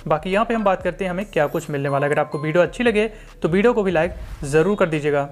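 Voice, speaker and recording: A man's voice: fairly high pitch, very fast, music in the background. A young man with an Indian accent, narrating a hands-on tech review in an informal, explanatory way. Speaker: tech reviewer, channel host.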